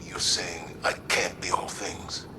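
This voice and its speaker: A man's voice: low-pitched, fairly even intonation, slow, background noise. A man playing a dignified, thoughtful military commander who speaks formally and pensively in a video game. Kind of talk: scripted game dialogue.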